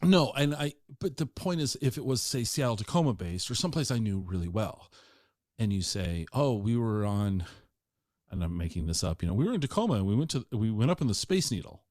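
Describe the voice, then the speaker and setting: Man, middle-aged, podcast host, casual chat, teasing, lowish voice, dry sound. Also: fairly quick, dry recording. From Washington State. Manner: chatty, teasing, animated asides.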